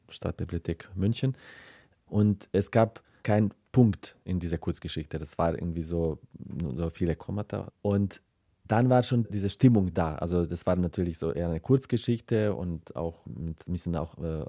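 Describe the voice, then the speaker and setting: A man from the former Yugoslavia with a Balkan accent, a writer talking reflectively and haltingly in an interview. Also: low voice, mellow, dry recording, studio quality. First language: South Slavic language of former Yugoslavia. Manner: halting, searching phrasing with repeated words.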